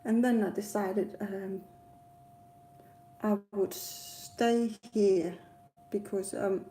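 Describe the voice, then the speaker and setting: A woman with a Danish accent chats casually about her own experiences at a slow, halting pace. Her voice is soft-edged and mellow, and she rambles with frequent pauses. There is a faint noise behind her. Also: mid-range voice, fairly even intonation. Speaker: travel vlogger. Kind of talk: casual personal storytelling to camera.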